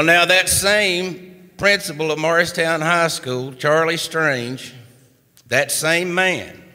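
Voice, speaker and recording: A man from East Tennessee with an East Tennessee accent, spinning a funny story in an unhurried folksy drawl as a singer-songwriter and storyteller on a live radio stage. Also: a little high, pushed and bright, long reverb tail. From East Tennessee, USA.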